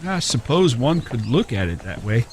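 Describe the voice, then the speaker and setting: A man plays a charming blind man of the Old West in an audio drama. His mid-pitched voice moves with lively inflection, courtly and playfully self-deprecating. Outdoor ambience and noise sit clearly behind him. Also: normal speed, close to an everyday voice. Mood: playful, wry. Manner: courtly, folksy phrasing, playfully flirtatious.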